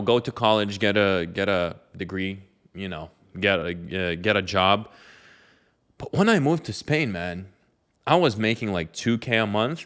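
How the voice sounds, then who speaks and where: A man's voice: lowish voice, fairly bright tone. A young man, talking casually about his life and giving advice with many fillers. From Lithuania. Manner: conversational, loose with many fillers. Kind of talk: casual talk to camera.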